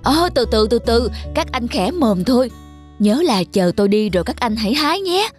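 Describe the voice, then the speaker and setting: A woman's voice: fairly high pitch, big pitch swings, moderate pace. A woman voicing a clever, loyal farm dog in a children's cartoon fable, coaxing with feigned innocence. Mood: innocent, persuasive. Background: background music.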